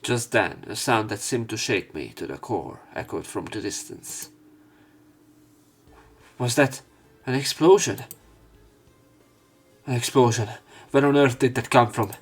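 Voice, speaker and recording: A man's voice: mid-range voice, unhurried, pauses often, slightly rough. A man reading a visual novel aloud as a let's play narrator, dramatizing the script. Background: background music.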